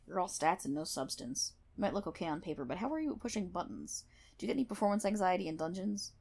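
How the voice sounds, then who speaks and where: Woman doing a prickly tsundere mmo healer for asmr roleplay, low raspy voice, sarcastic n sweary. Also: expressive, a little fast, a little mellow. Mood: sarcastic, scolding. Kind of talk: ASMR roleplay, spoken one-on-one to the listener.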